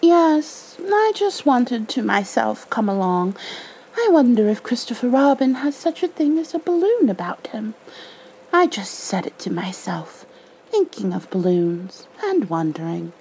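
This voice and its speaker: A woman's voice: a little high, sing-song, unhurried, a little mellow, slightly rough, full character voice, poor audio. A woman voicing a naive, earnest, honey-loving little male bear from a storybook, musing in a singsong way. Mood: earnest, scheming. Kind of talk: storybook read-aloud character voice. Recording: faint background noise.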